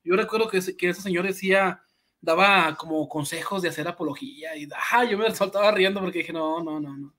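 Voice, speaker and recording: A man co-hosting a live stream, mocking an opponent in an animated way, with lots of fillers. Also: high voice, a little fast.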